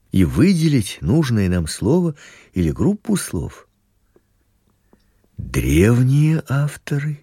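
A man giving a scripted, measured religious lesson narration, posing rhetorical questions as he reads. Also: on the low side, slightly rough, dry recording, studio quality. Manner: slow, measured, expressive reading with rhetorical questions.

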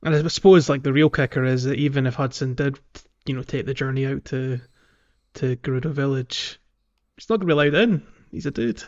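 A man co-hosting a podcast, chatting casually about a video game in a halting, conversational way. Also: mid-range voice, darkish tone, muffled recording. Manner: halting, peppered with "like" and "you know". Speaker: podcast co-host.

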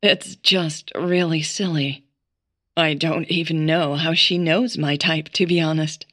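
A woman's voice: sits low, fairly even intonation, measured pace, fairly bright tone, dry recording, studio quality. A woman playing an awkward, earnest, chatty woman in an audio drama, hesitant in how she talks. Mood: awkward, sheepish.

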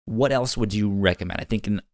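A man co-hosting a podcast, chatting casually in a halting way and often repeating words as he goes. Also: on the low side, a little mellow, dry recording, studio quality. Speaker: podcast co-host. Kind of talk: casual podcast review chat.

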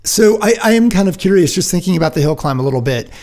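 A man's voice: sits high, lively intonation, fast talker, darkish tone. A man hosting a podcast, asking long, searching questions full of fillers. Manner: thinks aloud with many fillers. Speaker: podcast host. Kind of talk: interviewing on a podcast.

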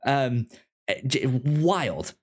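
Male podcast co-host, unhurried and stammering over words, enthusiastic, with big swings in pitch. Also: animated, husky. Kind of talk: casual film discussion chat.

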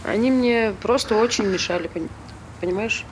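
An actress playing a troubled, fragile woman in a stage drama, halting and repeating herself nervously. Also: mid-range voice, slight background noise, lightly acted. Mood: evasive, reassuring. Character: troubled, fragile woman confiding in a male friend.

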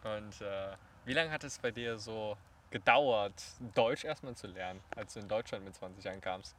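A man asks questions in a thoughtful way. His voice is bright and forceful. He sounds a bit off-mic, with faint street noise behind him. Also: on the low side, normal speed, recorded from a distance. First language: Chinese. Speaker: interviewer. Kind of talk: casual conversation.

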